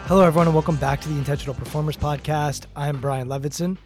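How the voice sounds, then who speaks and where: A man hosting a podcast interview, upbeat and earnest, speaking softly when he turns to his guest. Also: on the high side, steady tone, fast, soft background music. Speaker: podcast host.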